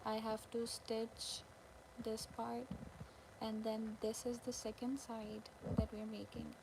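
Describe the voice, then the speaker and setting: A woman hosting a casual craft livestream, chatting with viewers in short, halting phrases with pauses. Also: a little high, monotone. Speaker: live stream host, crocheter. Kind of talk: casual livestream chat with viewers, showing handmade work. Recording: clearly noisy background ambience.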